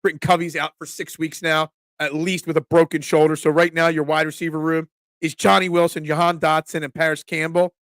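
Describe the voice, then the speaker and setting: A fairly high-voiced man hosts a sports talk stream, sounding congested, as if he is catching a cold. He rants with excitable, opinionated energy and trips over his own restarts. The sound is close and dry, with no room echo. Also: brisk. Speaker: sports talk show host. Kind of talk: casual live-stream sports talk, reading chat comments.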